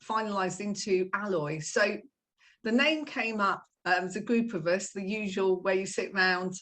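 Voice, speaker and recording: A middle-aged woman being interviewed, talking casually with many pauses and filler sounds. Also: mid-range voice, fairly bright tone. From Iran. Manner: hesitant, many ums, laughs easily. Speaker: guest.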